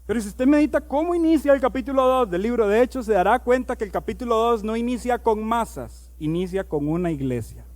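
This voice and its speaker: A male pastor preaching a sermon. He reads scripture aloud, slows down on key words, and builds to loud, emphatic preaching. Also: very high voice, animated.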